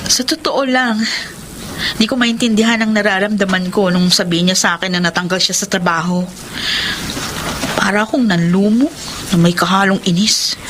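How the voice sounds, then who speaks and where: A woman's voice: mid-range voice, background noise, natural voice. An actress playing a worn-down, anxious young wife and working mother in an emotive, confiding Tagalog radio drama style.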